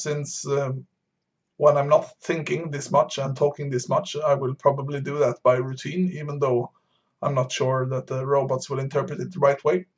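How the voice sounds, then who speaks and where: A man from Sweden, streaming a bridge game and explaining his moves to beginners while thinking aloud with frequent pauses and fillers. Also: medium pitch. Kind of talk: live-stream commentary explaining card play.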